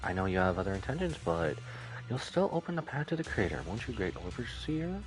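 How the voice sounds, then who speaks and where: A man's voice: on the low side, very animated, a little fast, faint music behind, low-quality recording. A man voicing a devout, scheming female cult agent from a game, in a solemn, reverent manner.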